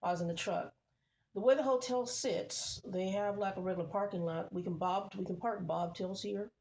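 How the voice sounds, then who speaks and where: A woman's voice: medium pitch, moderate pace, a little mellow. A woman truck driver vlogging in a casual, rambling way, repeating herself and calling her viewers y'all. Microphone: phone.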